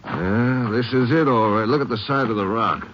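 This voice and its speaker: An actor playing an alert Texas Ranger sidekick in a radio western, with clipped, folksy speech. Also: male voice, medium pitch, normal speed, natural voice. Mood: matter-of-fact. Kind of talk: 1950s radio western acting. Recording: muffled, noisy old radio recording.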